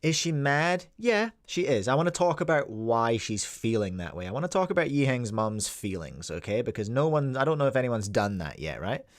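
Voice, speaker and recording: A Scottish man in his forties hosts a casual live podcast, his voice sitting at an ordinary middle pitch. He rambles along in a loose, chatty way and greets people in the chat as he goes. The sound is dry and close, with no room around it. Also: very animated, normal speed, few pauses, dry recording. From Scotland. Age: 40s. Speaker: podcast host.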